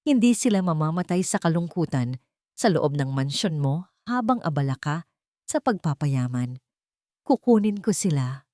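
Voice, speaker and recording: A woman's voice: sits low, big pitch swings, moderate pace, warm tone, dry recording, clean studio sound. A woman voicing a cold, bitter, vengeful rich aunt in an audiobook drama, speaking bluntly and commandingly. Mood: cold vow.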